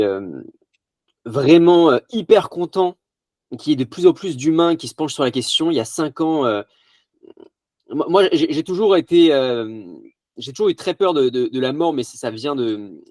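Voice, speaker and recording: Un homme animateur de podcast, enrhumé, qui parle avec enthousiasme en butant souvent sur ses mots. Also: male voice, medium pitch, a little mellow, no room sound. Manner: enthusiastic, stumbling restarts. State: has a cold. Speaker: podcast host. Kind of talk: casual interview talk.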